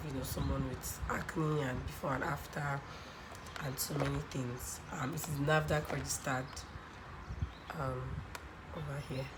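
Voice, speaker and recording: A woman from Nigeria with a croaky voice from a cold, chatting casually on camera about a skincare product and often adding "um" and "okay". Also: extremely low pitch. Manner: chatty, many ums and okays. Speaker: skincare vlogger. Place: home room by a sunny window near a road. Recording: clear traffic noise behind. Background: passing cars.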